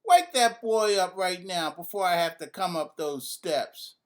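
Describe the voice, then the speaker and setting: A man's voice: high voice, lively intonation, a little slow, pitched above the performer's own voice. A man voicing an upset, bossy mother who urgently scolds her young sons in a children's storybook. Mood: exasperated.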